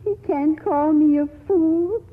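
Fluttery high-voiced old housewife, tinny radio. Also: female voice, slow pace. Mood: distressed. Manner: flustered, hesitant. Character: fretful, wavering, soft-hearted old housewife. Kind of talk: 1940s radio acting.

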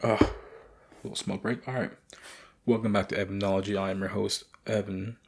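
A young man hosting his podcast, rambling and swearing in a casual late-night monologue with a raspy voice. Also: on the low side, little pitch movement, fairly quick, darkish tone. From United States. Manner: profane, rambling, loose. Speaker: podcast host.

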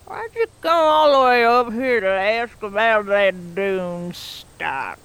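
A woman acts out a very old, cunning mountain crone with an old rural drawl. She speaks slowly and teasingly, in a mid-pitched, weathered voice. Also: lively intonation, fairly bright tone, smooth. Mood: sly. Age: older. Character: ancient mountain crone, cackling and sly.

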